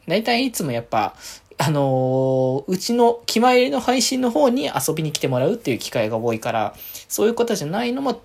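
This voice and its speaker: A woman's voice: low-pitched, darkish tone, husky. A woman from Aichi in Japan hosting a podcast alone, chatting casually and easygoing as she looks back on her week. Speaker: podcast host. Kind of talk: casual solo chat, recapping the week.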